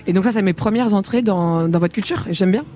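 Fast-talking woman from the Congo. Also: medium pitch, warm tone. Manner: candid, laughs often, trails off with fillers. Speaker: guest singer. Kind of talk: radio interview.

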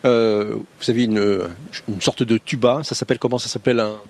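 A man hosting a radio interview, talking quickly and eagerly. He asks questions with open admiration for his guests. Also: medium pitch, fairly even intonation, a little mellow. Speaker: radio host.

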